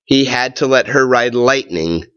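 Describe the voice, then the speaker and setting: Expressive, slow-paced man reading a children's story. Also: medium pitch, smooth, lo-fi sound. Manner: expressive, deliberate phrasing with drawn-out words and pauses. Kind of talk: read-aloud of a children's tall tale.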